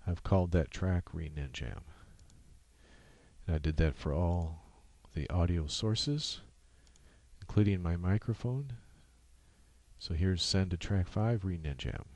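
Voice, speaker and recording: A man giving a casual, unscripted software walkthrough in halting steps, speaking into a USB microphone. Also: low voice, no echo. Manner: halting, unscripted step-by-step explaining. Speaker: software tutorial presenter. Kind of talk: casual screen-capture tutorial narration.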